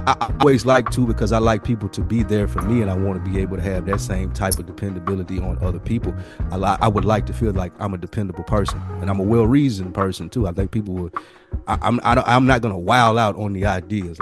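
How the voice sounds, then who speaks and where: A man's voice: sits low, fairly even intonation, quick pace. A man chatting casually on a podcast, telling stories in a direct, slangy, rambling way. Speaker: podcast co-host. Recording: music playing behind the voice. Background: music.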